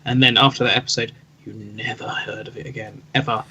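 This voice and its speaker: A man's voice: medium pitch, steady tone, a little fast, sharp tone, husky, muffled recording. A man talking casually as a podcast panelist, sometimes groping for words. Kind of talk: casual chat.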